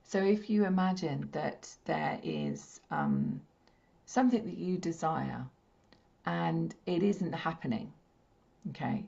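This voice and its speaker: A woman with a slightly croaky, hoarse throat, leading a spiritual healing session in a gentle, repetitive, soothing way. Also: sits low, big pitch swings, slow. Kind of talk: slow meditative teaching talk. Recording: dull lo-fi stream audio.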